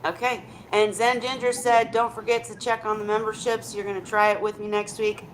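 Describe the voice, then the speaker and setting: A woman's voice: medium pitch, flat delivery, a little fast, a little hiss or hum, low-quality recording. A woman hosting a casual group video chat. She talks in a chatty way, asks lots of questions and often repeats her words as she starts. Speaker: host of a live video chat.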